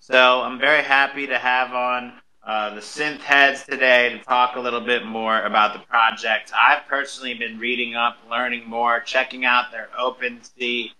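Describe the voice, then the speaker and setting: Guy hosting a live audio room, really bright punchy voice, upbeat and chatty. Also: mid-range voice, level tone, moderate pace, hardly any pauses, low-quality recording. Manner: enthusiastic, chatty. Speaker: host of an online audio show. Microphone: live social audio room call.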